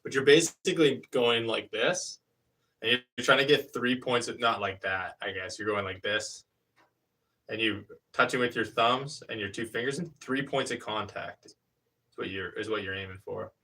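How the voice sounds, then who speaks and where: A fairly low-pitched man in his thirties talks loosely through what his hands are doing at a pottery wheel, trailing off and restarting as he goes. He sits back from the microphone, so his voice comes across slightly distant. The tone is easygoing live-stream chatter. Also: normal speed, sharp tone. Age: 30s. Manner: rambling, hesitant, explains hands-on steps while working. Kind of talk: casual live-stream chat while demonstrating. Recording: voice picked up from a distance.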